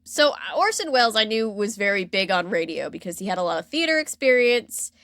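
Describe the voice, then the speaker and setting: A woman podcast host with a middle-pitched voice, recorded dry without room sound. She talks informally, like chatting with a friend, telling stories from pop culture history with wry, joking asides. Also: very animated, fairly quick, a bit bright, no room sound. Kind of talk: casual chat retelling pop culture history.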